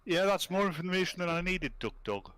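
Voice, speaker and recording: A man's voice: high voice, sing-song, fairly quick. A man making wry, casual joking commentary as a panellist over an old radio show. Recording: loud radio programme playing behind. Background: old radio drama with music.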